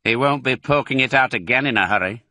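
A man's voice: medium pitch, fast talker, sharp tone, dry recording, clean studio sound, voice pushed up, close to an everyday voice. A man voicing a sneering, confident farmer who speaks colloquially in a children's storybook reading. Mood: gloating.

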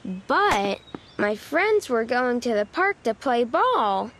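A woman narrating a children's storybook in an expressive, deliberate way, stretching key words. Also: on the high side, slight background noise.

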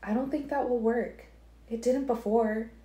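A woman doing a calm, insistent seer girl, higher voice. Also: unhurried, natural voice. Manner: calm, implacable. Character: calm, foreseeing young woman, gently insistent. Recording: roomy echo.

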